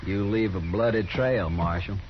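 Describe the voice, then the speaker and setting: An actor with an American accent playing a plainspoken, hearty cattle drover in a 1950s radio western, with a folksy, expressive delivery. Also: male voice, on the low side, moderate pace, over loud music, lightly acted. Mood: grim. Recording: noisy, muffled 1950s radio recording.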